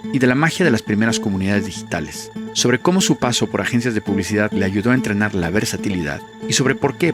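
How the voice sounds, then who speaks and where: A man hosting a podcast, reading a scripted introduction in a measured, announcer-like way before interviewing his guest. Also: on the low side. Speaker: podcast host.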